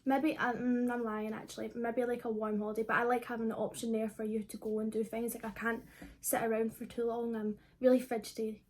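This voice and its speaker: A woman's voice: on the high side, level tone, brisk, darkish tone. A twenty-year-old woman with a Scottish accent, chatting casually to camera as a vlogger in an informal, rambling way. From Scotland. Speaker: vlogger answering viewer questions.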